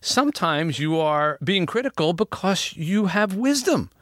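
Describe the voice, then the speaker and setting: A man's voice: high voice, very animated, a little slow, fairly bright tone, dry recording, studio quality. A man hosting a radio talk show in a casual, reflective, storytelling way, sharing opinions.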